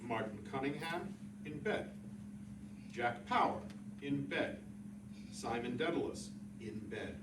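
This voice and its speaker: A man's voice: mid-range voice, lively intonation, slow pace, pauses often. Man reading literature, distant and echoey. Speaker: literary reader. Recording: roomy echo, distant mic, clear noise.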